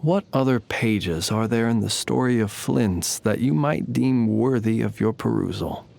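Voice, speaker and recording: A man voicing a courtly, humble lamp-bearing knight in a video game, speaking in formal, eloquent, old-fashioned phrases at a measured pace. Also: fairly low pitch, creaky, a little mellow. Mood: wry, modest. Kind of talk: scripted game character voice lines.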